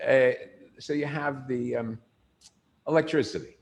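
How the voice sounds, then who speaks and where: Older male lecturer, gravelly, conversational teacher who quizzes the room. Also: mid-range voice, unhurried. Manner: animated storyteller, asks the room questions. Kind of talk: informal lecture to a group.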